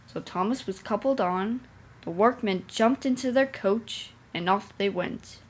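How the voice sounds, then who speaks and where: A woman's voice: mid-range voice, a little slow. A young woman reading a children's storybook aloud as its narrator. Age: young adult.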